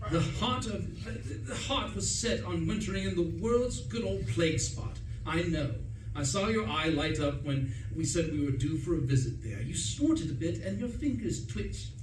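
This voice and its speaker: Distant echoey recording, guy acting posh silly young english gent, kinda high voice, wordy and self-important, radio comedy. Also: animated, moderate pace, hardly any pauses, lightly acted. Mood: earnest, insistent. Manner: breezy, pompous, wordy. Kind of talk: first-person comic radio play narration. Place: live stage performance in a room. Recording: roomy, distant mic, faint noise.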